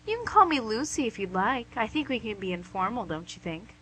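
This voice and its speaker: Woman playing a bright, teasing lady Devil. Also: on the high side, sing-song, a little fast. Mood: playful, vain. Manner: teasing, chatty, theatrical. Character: female Devil, playful, charming, smugly tempting businesslike boss. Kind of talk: comic radio play acting. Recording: noisy amateur recording with hum.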